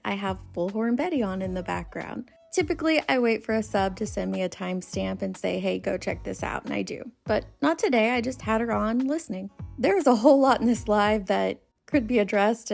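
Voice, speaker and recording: A woman with a noticeably creaky voice and mid-range pitch talks casually to her audience as a commentary host. Music plays behind her. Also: darkish tone. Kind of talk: casual spoken commentary to viewers.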